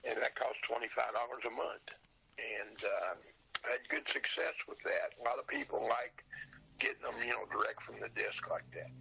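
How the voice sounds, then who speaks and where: An older man with a very gravelly voice and a bright, forceful edge talks casually as an interview guest. The sound is somewhat dull and lo-fi, and he tends to repeat phrases for emphasis. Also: medium pitch, normal speed. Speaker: podcast guest, old radio show dealer. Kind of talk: casual chat.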